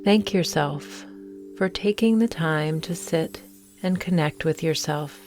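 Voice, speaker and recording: A woman guiding a meditation in a gentle, soothing manner, with deliberate phrasing and long pauses. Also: sits low, a little hiss or hum. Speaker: meditation guide and host. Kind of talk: guided morning meditation.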